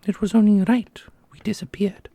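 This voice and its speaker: A woman playing a weary, solemn ancient divine guardian in an ASMR fantasy roleplay, soft and husky, with measured speech. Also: medium pitch, fairly even intonation, no echo, lightly acted. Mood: mournful.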